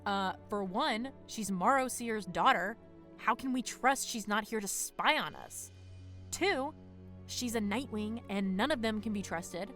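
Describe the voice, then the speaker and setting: A woman's voice: medium pitch, expressive, unhurried, fairly bright tone, faint music behind. A woman playing a blunt, suspicious young female sea dragon in an audio drama, arguing brusquely. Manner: argumentative, brusque.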